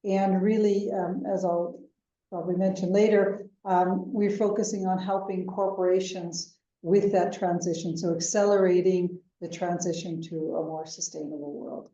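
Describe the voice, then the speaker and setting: An American woman in her fifties, a venture capital executive answering interview questions in a measured way, with many ums and uhs. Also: fairly low pitch, fairly even intonation, warm tone. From United States. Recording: distant, echoey, dull remote call audio.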